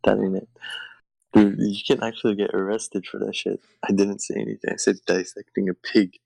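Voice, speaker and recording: Gravelly young man joking on a call. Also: on the low side, flat delivery, fairly quick, a little mellow, no echo, rough recording. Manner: rambling, laughing, swearing. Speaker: podcast host. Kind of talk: crude comedy banter. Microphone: remote call over shaky wi-fi.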